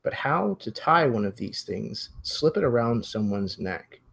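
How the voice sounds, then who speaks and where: A man running a tabletop mystery game as game master, narrating in measured, formal phrasing. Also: mid-range voice, big pitch swings, vocal fry, a bit bright, husky.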